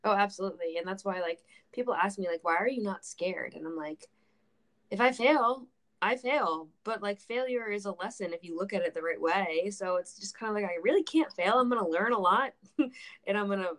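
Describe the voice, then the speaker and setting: A woman's voice: mid-range voice, brisk, fairly bright tone. A woman in her mid-twenties chatting casually and candidly on a podcast, blunt and self-deprecating, swearing freely. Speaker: podcast co-host.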